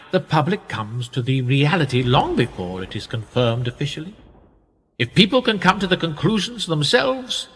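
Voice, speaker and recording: An actor playing an older, patronising and manipulative establishment man in a radio play, speaking in measured rhetorical phrases. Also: fairly high pitch, fairly bright tone, husky, long reverb tail, full character voice.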